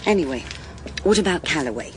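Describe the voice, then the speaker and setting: A brisk British female sleuth in a radio play, her low voice swinging expressively up and down. She is direct and inquisitive, with plenty of noise behind her. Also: animated, poor audio. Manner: pointed, questioning. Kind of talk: radio drama acting.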